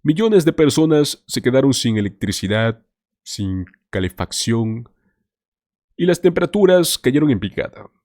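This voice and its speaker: A man's voice: mid-range voice, big pitch swings, measured pace, darkish tone, no echo, studio quality. A man hosting a political commentary show, talking to viewers in an emphatic, scripted way. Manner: emphatic, addresses viewers as "señores".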